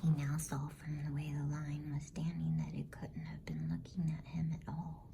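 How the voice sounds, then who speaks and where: A woman reading a storybook as ASMR narration in a hushed, soothing, unhurried whisper close to the microphone. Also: low-pitched, gravelly, slight background noise. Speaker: bedtime story reader.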